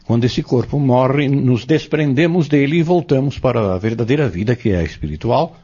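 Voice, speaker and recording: A man playing a patient, earnest Spiritist teacher in an audio drama, explaining step by step in a measured, didactic way. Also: mid-range voice, expressive, warm tone, husky. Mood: earnest, explanatory. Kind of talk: scripted audio drama dialogue. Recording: dull lo-fi audio.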